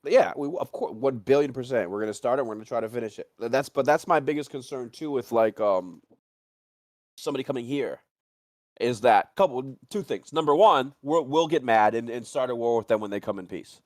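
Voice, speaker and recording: Casting: man, mid-pitched voice, blunt sweary casual talker, dry recording. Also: a little fast, darkish tone, dry recording. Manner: blunt, profane, repeats himself. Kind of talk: casual chat.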